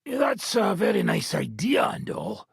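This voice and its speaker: An actor playing a gruff, foul-mouthed, battle-hardened medieval mercenary leader in a video game, raspy and blunt, with sardonic delivery. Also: male voice, sits high, huge pitch swings, measured pace, dry recording, studio quality, full character voice. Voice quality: raspy, gravelly. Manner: blunt, sardonic, swearing freely. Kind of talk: video game character dialogue.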